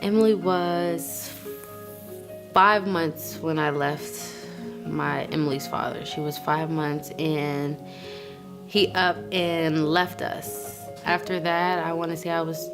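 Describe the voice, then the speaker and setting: A woman of twenty-six giving an informal, halting personal testimony, often repeating her phrases. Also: low-pitched, steady tone. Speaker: client of a charity, young mother. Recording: music playing behind the voice. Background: instrumental music.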